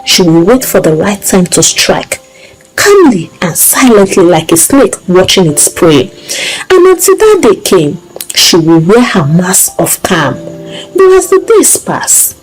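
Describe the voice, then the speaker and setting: A woman reads a dramatic story as a storyteller. Her delivery is expressive and emotive, with a mid-range pitch that moves in lively swings. Soft music plays behind her. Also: low-quality recording. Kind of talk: dramatic scripted story narration.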